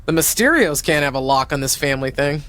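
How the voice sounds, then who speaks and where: An actress playing a playful middle-aged aunt and former pro wrestler in video game dialogue, chatty and teasing. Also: female voice, sits low, lively intonation, quick pace, a bit bright. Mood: eager, teasing.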